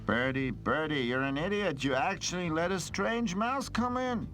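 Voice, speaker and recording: A man voicing a bossy, excitable mouse gang leader in a cartoon, with an emphatic, scolding manner. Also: on the high side, moderate pace, faint music behind. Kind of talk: cartoon dub acting.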